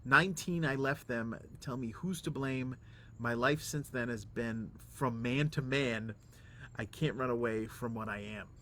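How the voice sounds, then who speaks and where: A man's voice: mid-range voice, measured pace, a bit bright, a little hiss or hum. A man co-hosting a casual podcast with his wife, dry and teasing in manner. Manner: dry, teasing, reads lyrics aloud. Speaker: podcast co-host. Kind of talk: casual chat.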